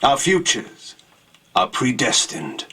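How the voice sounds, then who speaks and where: An actor playing an ancient, grandiose male vampire lord in a video game cutscene, declaiming slowly. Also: mid-range voice, big pitch swings, sharp tone, husky, recorded from a distance, exaggerated voice, low-quality recording.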